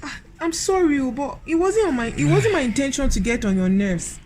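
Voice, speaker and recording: A woman's voice: high voice, big pitch swings, brisk, a little mellow, a little hiss or hum. A woman with a Nigerian accent playing a devoted, anxious, prayerful wife in a radio drama, emotive and repeating her words. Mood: apologetic, soothing.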